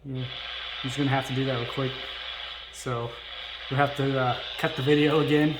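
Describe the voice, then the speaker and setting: A man's voice: mid-range voice, flat delivery, normal speed, reverberant. A man casually showing vintage electronics to viewers as a video host, talking informally and unscripted. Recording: faint noise, clicks of handled gear.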